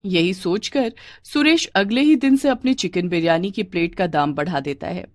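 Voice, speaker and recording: A woman's voice: on the low side, moderate pace, dry recording, studio quality. A woman narrating a scripted moral tale as a storyteller.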